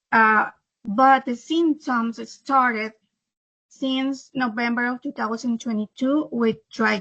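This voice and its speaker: Salvadoran lady, spanish accent, talks real slow n careful, kinda highish voice, muffled call audio. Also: pushed and bright. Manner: slow, careful, slightly halting second-language English. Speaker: webinar speaker. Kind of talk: personal testimony presentation. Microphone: computer microphone on video call.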